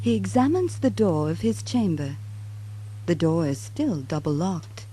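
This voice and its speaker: A narrator reading a scripted radio drama in a measured, solemn storytelling manner. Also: medium pitch, very animated. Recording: noisy old live radio recording.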